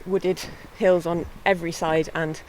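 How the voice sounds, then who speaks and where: A woman's voice: fairly low pitch, unhurried, rough recording. Young woman, easygoing chatty guest, outdoor hill walk, faint wind noise. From born in England, raised in mid Wales. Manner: relaxed, chatty, laughs lightly. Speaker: harpist and guest. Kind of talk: casual walking interview.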